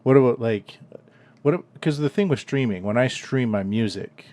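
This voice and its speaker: A man's voice: mid-range voice, normal speed, a little mellow. Curious, blunt man in his thirties. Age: 30s. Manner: curious, blunt, swears when riled. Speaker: podcast host. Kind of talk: casual interview chat.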